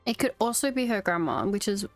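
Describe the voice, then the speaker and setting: A woman's voice: medium pitch, lively intonation, fairly quick, faint music behind. A woman streamer giving offhand, casual reactions while playing a game. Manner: offhand, brief remarks.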